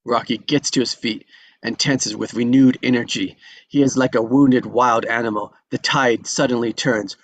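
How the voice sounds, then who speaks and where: A man's voice: mid-range voice, steady tone, moderate pace. A man narrating the action of a film script at a table read.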